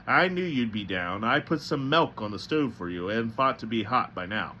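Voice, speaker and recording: A man's voice: mid-range voice, expressive, moderate pace, bright, forceful tone, a little hiss or hum. Man reading as a cheerful, knowing little boy. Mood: calmly knowing. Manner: cheerful, matter-of-fact. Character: small, cheerful, uncannily knowing young boy. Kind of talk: storybook dialogue.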